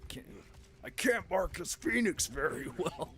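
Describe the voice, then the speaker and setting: A man streaming a game, rambling in casual commentary with a hoarse voice and frequent laughter. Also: sits high, big pitch swings, a little slow. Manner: chatty and rambling, laughs often.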